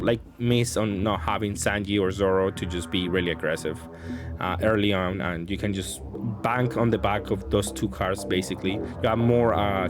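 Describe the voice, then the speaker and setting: A man giving casual, unscripted card game commentary as a host, thinking aloud with some hesitation. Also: on the low side.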